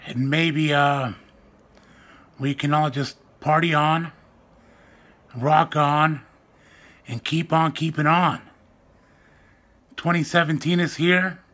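A young man vlogging casually to the camera at home, with a soft, weak, raspy voice and a slow, self-mocking, joking manner, recorded on a phone or webcam close to his face. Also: fairly high pitch, fairly even intonation. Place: indoors at home, small room.

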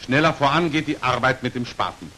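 A man's voice: medium pitch, expressive, a little fast, sharp tone, husky, poor audio. A man narrating an old 1940s instructional film in a precise, formal manner, on an aged film soundtrack. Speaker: narrator of a military training film. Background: hiss.

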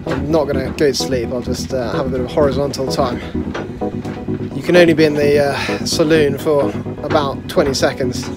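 A man in his thirties vlogging about sailing, talking casually into a phone held at arm's length. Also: mid-range voice. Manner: chatty, breathless on deck. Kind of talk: casual vlog narration. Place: lying in a boat cabin. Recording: loud music under voice.

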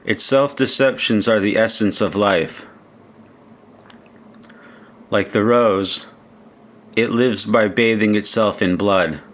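A man reading translated poetry aloud like an audiobook, in a solemn, measured manner. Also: lowish voice, a bit bright. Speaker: reader.

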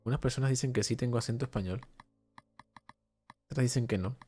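A man's voice: mid-range voice, fast talker. Chatty young streamer greeting his viewers. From Venezuela. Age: young adult. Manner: chatty, warm greetings to viewers, reads chat aloud. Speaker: gaming streamer. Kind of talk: live gameplay stream, answering chat. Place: home room at a desk. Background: faint game music.

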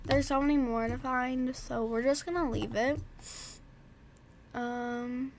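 A woman's voice: high voice, steady tone, slow, a little hiss or hum. Stuffy-nosed woman chatting through a game. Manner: chatty, talks to herself and her horse. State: stuffed-up nose. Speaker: gaming vlogger. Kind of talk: casual gameplay commentary.

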